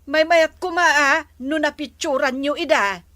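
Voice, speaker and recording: A woman's voice: high voice, a little slow, pushed and bright, no room sound. A woman with a Filipino accent acting a sensible, doubting village official in an Ilocano comedy drama. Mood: cautious.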